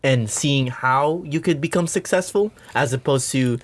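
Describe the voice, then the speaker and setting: A man's voice: medium pitch, unhurried. A man hosting a casual podcast interview in a studio, encouraging and full of short affirmations. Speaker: podcast host.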